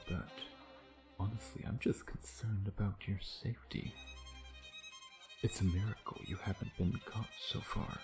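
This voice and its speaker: Man acting a deep-voiced, teasing vampire. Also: lightly acted. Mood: teasing menace. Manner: sardonic, flirtatious, drawling taunts with murmured asides. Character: suave, teasing, predatory male vampire, playfully menacing. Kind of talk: ASMR roleplay, intimate scripted acting.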